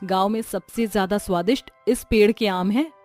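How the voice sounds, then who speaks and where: A woman's voice: medium pitch, moderate pace, a little mellow, faint music behind, natural voice. A woman voicing an earnest young village wife who thinks aloud in a storybook cartoon. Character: young village wife, engineer, later a witch.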